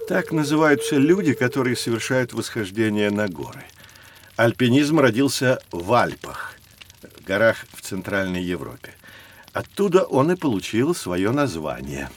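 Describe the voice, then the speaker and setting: Lively, patient teacher. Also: male voice, on the low side, moderate pace, a little hiss or hum. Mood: explaining. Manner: didactic, expressive. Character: patient adult male teacher guiding curious children. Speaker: teacher, explainer. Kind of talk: scripted educational audio drama.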